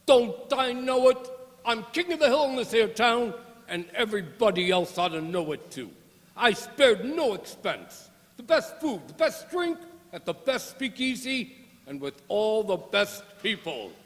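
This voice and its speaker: Man acting a blustering high-pitched mobster boss. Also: big pitch swings, unhurried, fairly bright tone, exaggerated voice. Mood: boastful. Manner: bossy, bragging, slangy. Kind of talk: gangster radio play acting. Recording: hall with long reverb.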